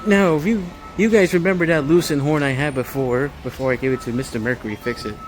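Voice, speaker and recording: Male vlogger for casual store walkthrough, average pitch, thinks aloud and rambles, prominent background music. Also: fast talker, rough recording. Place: inside a large home improvement store. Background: store music from overhead speakers.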